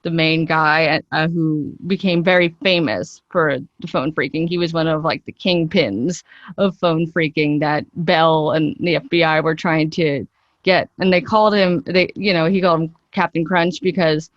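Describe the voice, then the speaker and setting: Woman actress doing an interview, kinda low voice, chatty and into it, dry sound. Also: fairly even intonation, moderate pace, dry recording, lo-fi sound. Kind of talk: conversational interview.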